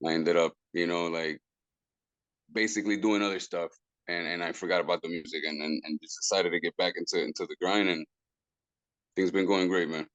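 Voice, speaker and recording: A man's voice: low-pitched, steady tone, fairly quick, a bit bright, dry recording, highs cut off. A man, a guest musician who talks casually, stumbles over repeated words and drops into Spanish phrases. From Puerto Rico. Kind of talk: casual interview talk.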